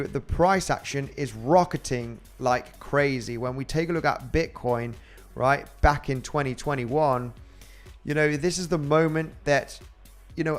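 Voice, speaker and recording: A man with a Spanish accent, a crypto trading host chatting casually on a livestream and reading out viewer comments in a rambling way. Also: on the high side. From Spain. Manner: rambling and chatty, with frequent fillers and laughs.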